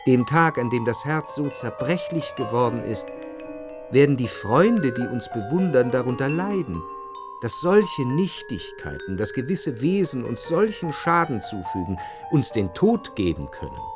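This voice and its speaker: An actor playing a grave, philosophising gentleman narrator in a radio drama, reflective and deliberately emphatic. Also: medium pitch, sing-song, music in the background. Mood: brooding, intense. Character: grave, philosophising gentleman brooding on love and suffering. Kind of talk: literary radio drama monologue.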